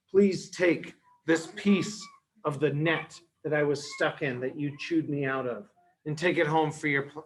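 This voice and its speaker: A man voicing a regal storybook lion, slow-spoken. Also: a little high, a little hiss or hum, natural voice, rough recording. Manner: grand, formal speech. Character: regal male lion, loud when trapped, grateful.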